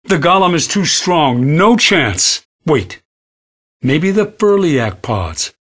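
An actor playing a corrupted male ship AI with a raspy, processed voice, torn between frightened pleading and a menacing hive voice in a video game. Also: a little high, sing-song, slow pace, heavily acted.

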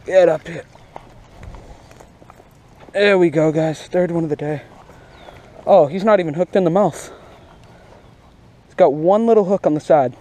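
Young guy, high-ish mellow voice, fishing outdoors. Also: a little slow, pauses often. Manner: informal, excitable, slangy. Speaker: fishing vlogger. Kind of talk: casual outdoor fishing commentary. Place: outdoors beside a grassy pond. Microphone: body-worn action camera. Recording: outdoor action camera with ambient noise.

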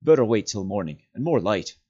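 A man's voice: on the low side, sing-song, a little fast, mellow, dull audio. A man voicing a loyal, gloomy hobbit servant with a plain-spoken, folksy, grumbling manner in a storybook reading. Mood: worried.

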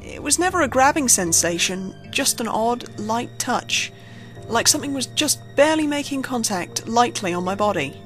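A woman reading a scripted first-person horror story in a measured, suspenseful storytelling manner. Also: on the high side, lively intonation, a bit bright, rough recording. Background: background music under the narration.

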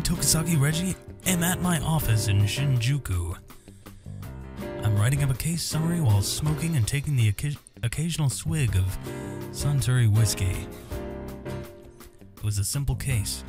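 A man hosting a gaming video, reading visual novel text aloud with asides and stumbling over some words and names. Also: mid-range voice. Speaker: gaming host. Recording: music under the voice. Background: game music with guitar.

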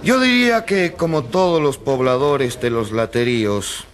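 An actor playing a grave doctor giving emphatic, didactic expert testimony in a radio courtroom drama. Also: male voice, medium pitch, close to an everyday voice. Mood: emphatic, sombre. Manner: emphatic, didactic, measured declarations.

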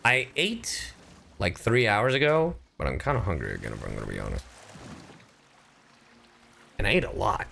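A man chatting casually on a gaming livestream, animated and profane, swinging between loud outbursts and low asides. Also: normal speed, creaky, long pauses, slight background noise. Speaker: streamer and voice actor. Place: indoor livestream setup.

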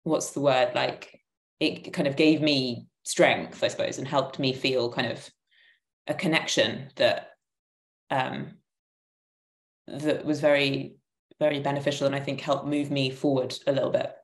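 Very low-pitched woman, roomy sound. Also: steady tone, a little slow. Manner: hesitant, searching for words. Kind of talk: reflective panel discussion. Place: living room, indoors. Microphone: video call, laptop at arm's length. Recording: roomy, echoing video call.

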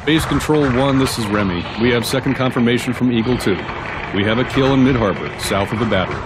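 An actor playing a gruff, authoritative prison security captain in an audio drama, curt and sneering. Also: male voice, medium pitch, fast talker, background noise, natural voice. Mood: brisk, official. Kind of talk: audio drama acting with military radio jargon.

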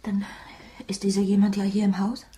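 A woman's voice: medium pitch, monotone, moderate pace, natural voice. An actress playing an anxious, earnest young female house employee in a radio drama, urgent and pleading. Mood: uneasy. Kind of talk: German radio drama acting. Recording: roomy echo, distant, clearly noisy.

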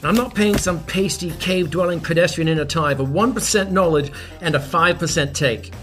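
A man's voice: fairly high pitch, moderate pace, a bit bright, faint music behind, natural voice. An actor playing a vain, blustering washed-up male pop star, pompous and dismissive, in a comedy podcast drama. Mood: scornful.

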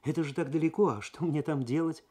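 A man voicing an excitable, curious young woman with a light, wondering manner. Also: fairly high pitch, quick pace, husky, pitched above the performer's own voice. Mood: surprised.

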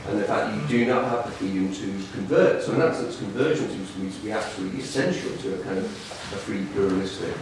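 Low-voiced man in an echoing hall. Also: fast, hardly any pauses. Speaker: conference discussion participant. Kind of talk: thoughtful spoken academic discussion. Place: conference room, recorded from audience. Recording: roomy echoing hall, distant mic, faint noise.

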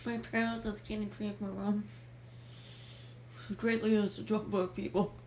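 A woman's voice: mid-range voice, little pitch movement, normal speed, darkish tone, lightly acted. A woman in an amateur fandub playing a frightened, sorrowful young princess trapped in a tower, plaintive and dramatic, on a noisy home recording. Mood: wistful.

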